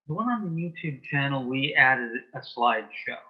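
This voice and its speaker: A man speaking as the guest in a casual interview, giving measured, concise answers. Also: mid-range voice, animated, slightly rough, lo-fi sound. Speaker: interview guest, author and playwright.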